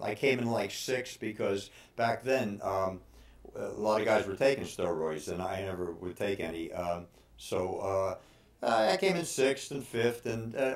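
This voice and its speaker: Halting, low-voiced man in his sixties. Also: a little mellow. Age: 60s. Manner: halting, hesitant delivery with frequent pauses. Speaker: bodybuilder and personal trainer. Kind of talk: informal advice talk about his own training. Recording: roomy, echoing room sound.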